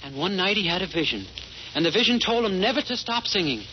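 A man's voice: sits high, animated, fast. An actor playing a naive, eager, kind-hearted young American man in a 1940s radio comedy style. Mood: gentle, kindly. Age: young adult. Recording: muffled, noisy old radio broadcast.

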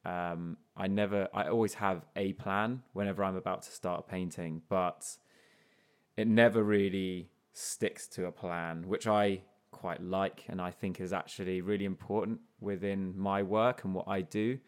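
Male artist guest, low voice, relaxed and reflective, with frequent restarts. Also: normal speed, clear, resonant tone. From grew up in Portugal, then England. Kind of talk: casual conversational interview.

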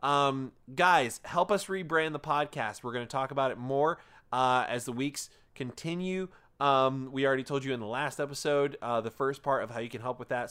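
Rambling man, mid-pitched. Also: a bit bright, clean tone. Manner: rambling, many filler "uh"s, playful. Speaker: podcast host. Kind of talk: casual podcast intro and chat.

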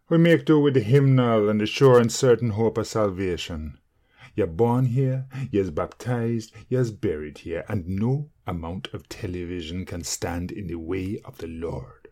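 A man doing a stern, slow-spoken giant sexton. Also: on the low side, dark tone, lightly acted. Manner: slow, stern, dialect speech. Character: taciturn, solemn giant male church sexton.

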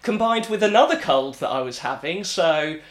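A man's voice: a little high, lively intonation, normal speed, roomy sound. A man co-hosting a casual podcast, joking and laughing often as he chats. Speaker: podcast co-host. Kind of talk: casual chat.

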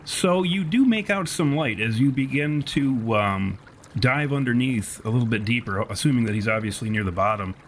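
A man's voice: medium pitch, lively intonation, moderate pace, slight background noise. A man improvising narration as game master of a tabletop roleplaying game, with wordy, storyteller phrasing.

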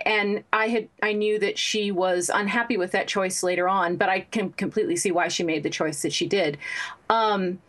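A woman novelist as an interview guest, answering in a thoughtful, measured way with frequent fillers. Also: mid-range voice, fairly bright tone. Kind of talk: interview conversation.

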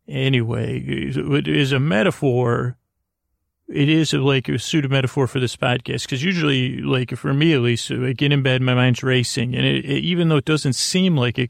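Gravelly man rambling haltingly. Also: medium pitch, dry recording, studio quality. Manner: halting, meandering, repeats himself; whimsical, tongue-in-cheek. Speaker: podcast host. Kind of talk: rambling improvised sleep podcast monologue.

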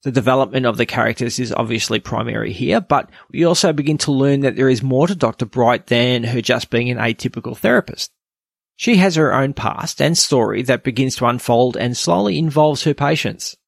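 A man with a gravelly, medium-pitched voice narrates a scripted review as a podcast host. The sound is dry, with no room echo. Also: fairly quick.

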